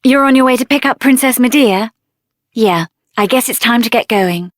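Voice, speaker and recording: A woman voicing a spirited, headstrong young heroine in a fantasy video game, speaking in an earnest, expressive, plain-spoken way. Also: fairly high pitch, normal speed, no room sound, clean studio sound, natural voice. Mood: warm, chatty. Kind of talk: video game character voice acting.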